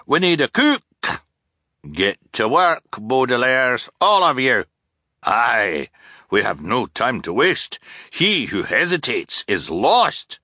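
A man's voice: mid-range voice, very animated, slow pace, pushed and bright, no room sound, clean studio sound, pitched above the performer's own voice, full character voice. A man voicing a frantic, bombastic submarine captain in an audiobook, talking in urgent, exclamatory, repetitive bursts. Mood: commanding. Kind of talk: audiobook character voice.

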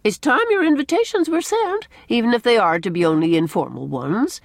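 A woman's voice: fairly high pitch, animated, brisk, creaky, no echo, clean studio sound, voice pushed up. A woman voicing a stern, opinionated older village matron in an audiobook, emphatic and scolding with old-fashioned country phrasing. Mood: brisk, curious. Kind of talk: audiobook character dialogue.

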